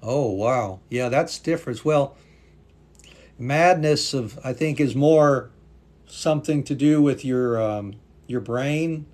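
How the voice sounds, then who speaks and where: A man's voice: medium pitch, slow pace, darkish tone. A native English-speaking man teaching English on a live stream, patiently reading viewer comments aloud and thanking them. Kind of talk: live-stream language class chat.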